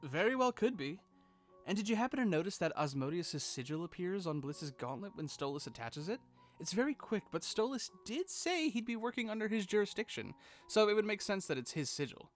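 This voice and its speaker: A man's voice: high-pitched, sing-song, a little fast. A man co-hosting a scripted commentary, casual and sweary in his asides, with music playing behind him. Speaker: video co-host. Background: music bed.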